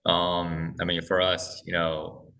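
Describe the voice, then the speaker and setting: A man speaking as a podcast guest, talking haltingly with many restarts and fillers. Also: sits low, monotone, sharp tone, far from the mic. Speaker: guest, corporate development head. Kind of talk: casual podcast interview.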